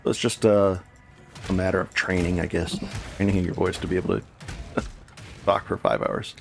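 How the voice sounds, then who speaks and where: Gravelly-voiced man streaming a game. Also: low voice, fairly even intonation, normal speed, faint music behind. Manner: rambling, self-correcting, chatty with viewers. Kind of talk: casual live gameplay commentary.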